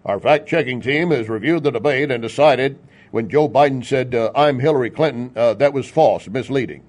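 A man's voice: mid-range voice, moderate pace, darkish tone. An American man from Texas hosting a political radio show in a conversational, folksy way, with joking asides and rhetorical questions. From Texas, United States. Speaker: talk radio host.

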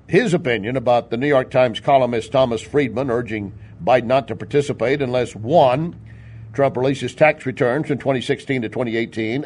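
A man from Texas with an American accent hosts a political talk radio show. His voice sits at a medium pitch. He speaks conversationally and folksily, tossing out rhetorical questions and joking asides. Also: a little mellow. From Texas, United States.

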